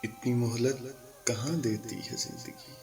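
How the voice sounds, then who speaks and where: A man reciting his own ghazals as a poet, in a declamatory, deliberate manner, repeating lines with pauses. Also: mid-range voice, fairly even intonation, darkish tone, distant mic, a little hiss or hum. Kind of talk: slow Urdu poetry recitation. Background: music.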